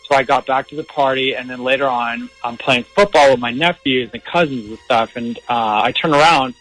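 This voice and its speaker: A man's voice: mid-range voice, fairly quick, fairly bright tone, low-quality recording. Casual young male radio caller, music behind. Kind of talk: casual personal storytelling.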